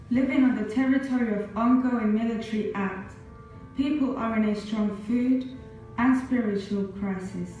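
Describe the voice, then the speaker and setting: A woman reading a formal documentary narration in an even manner. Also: on the high side. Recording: video played in an echoey hall, heard from far off.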